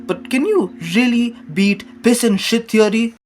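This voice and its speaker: A man's voice: very high voice, a little slow. A young adult man hosting a casual, comedic commentary rant, joking crudely with sarcasm and exaggeration. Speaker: YouTube commentary host. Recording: music behind the voice. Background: background music.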